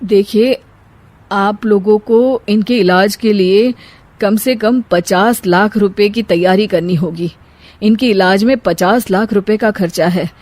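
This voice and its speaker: Lady doing a serious doctor guy, halting and careful, medium pitch, storybook drama. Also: darkish tone, no echo. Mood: grave, hesitant. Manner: halting, measured. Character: hesitant, grave male family doctor. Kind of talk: Hindi moral story dramatization.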